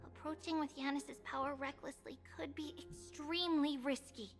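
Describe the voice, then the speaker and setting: An actress voicing a small, childlike priestess guide in a video game, earnest, caring and clearly articulated. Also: female voice, high voice, fairly even intonation, normal speed, faint music behind, close to an everyday voice. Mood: caring, instructive. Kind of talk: scripted video game acting.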